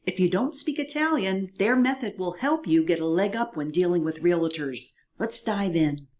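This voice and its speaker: A woman's voice: on the low side, lively intonation, normal speed, darkish tone. A woman hosting a conversational interview in an effusive, affirming way. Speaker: interviewer and host of an expat life show.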